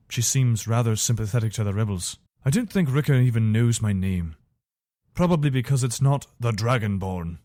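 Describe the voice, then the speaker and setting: A man's voice: mid-range voice, mellow, dry recording, studio quality, close to an everyday voice. A man playing a loyal, weary imperial soldier in a fantasy video game, speaking in an earnest, conversational, soldierly way. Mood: reflective, earnest. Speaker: voice actor for a game character. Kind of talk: video game character dialogue.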